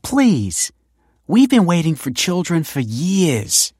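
A man voicing a desperate woman in her late thirties who stammers and begs, her voice pushed very high. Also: big pitch swings, slow, darkish tone, slightly rough, no echo, clean studio sound, heavily acted. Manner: stammering, begging.

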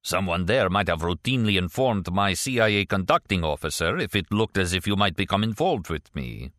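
A man's voice: low-pitched, very animated, a little fast, fairly bright tone, no echo, studio quality. A man voicing an older Russian defector father in an audiobook, with a Russian accent and formal, carefully precise wording. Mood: thoughtful.

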